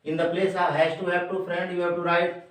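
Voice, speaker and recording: A man with an Indian accent, a native Hindi speaker, teaching grammar like a classroom lesson in a deliberate, repetitive way, addressing learners as friends. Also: high-pitched, monotone. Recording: echoey room, distant microphone.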